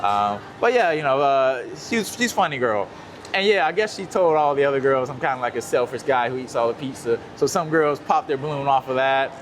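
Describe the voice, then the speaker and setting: A man's voice: medium pitch, fairly quick, a little hiss or hum. Rambling man telling a story outdoors. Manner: rambling, many fillers, relaxed. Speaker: guest. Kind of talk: casual storytelling.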